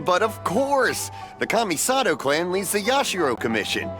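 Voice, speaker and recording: A man's voice: sits high, huge pitch swings, normal speed. A man playing a jovial older male festival mask vendor who laughs often and talks heartily, in a game cutscene. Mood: friendly. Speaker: stall keeper. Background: game music.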